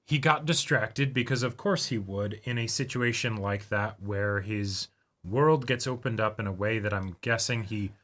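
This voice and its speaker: A man's voice: fairly low pitch, normal speed, a bit bright. A man co-hosting a reaction show in a casual, conversational style. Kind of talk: casual chat.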